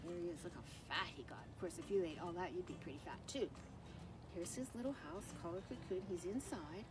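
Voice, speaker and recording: A woman leads a children's story time with music playing behind her. Her pitch swings in a lively way as she reads in simple, expressive phrases. She steps out of the text to show things and ask young listeners questions. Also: low-quality recording. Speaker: naturalist reading a children's story. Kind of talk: children's story time read-aloud with show-and-tell asides.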